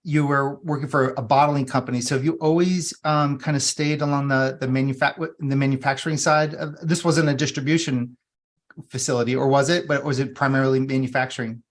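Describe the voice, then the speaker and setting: A man's voice: medium pitch, steady tone, brisk. A man hosting an interview, asking long, rambling questions full of restarts and fillers. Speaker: podcast host.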